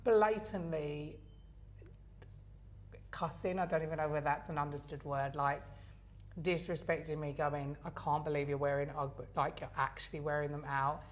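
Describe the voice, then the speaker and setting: A middle-aged woman with a Southern English accent chats on a podcast, her voice sitting a little low. She rambles through anecdotes, filling pauses with "um" and "like" and restarting her sentences. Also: steady tone, a little hiss or hum. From England. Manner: chatty storyteller with frequent fillers and restarts. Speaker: podcast co-host. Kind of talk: casual chat.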